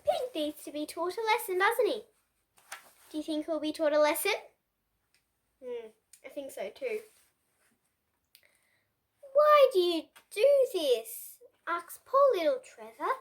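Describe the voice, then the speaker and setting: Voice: sits high, animated, moderate pace, pauses often. A child hosting a read-aloud, reading picture books expressively with playful, dramatic asides and questions to young listeners. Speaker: host of a children's read-aloud book channel.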